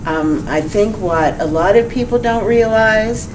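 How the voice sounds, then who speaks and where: A woman's voice: medium pitch, unhurried, distant mic, background noise. A woman speaking earnestly and with emphasis as a guest on a talk show.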